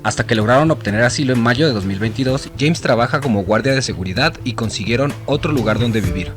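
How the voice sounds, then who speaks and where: A man's voice: medium pitch, fast, music in the background. A man narrating a news report in a scripted, matter-of-fact way.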